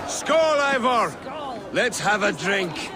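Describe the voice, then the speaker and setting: A man's voice: high-pitched, big pitch swings, extremely slow, a crowd in the background, noisy background, heavily acted. A man playing a hearty, boastful Viking warrior in a video game cutscene. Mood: merry. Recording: music playing behind the voice.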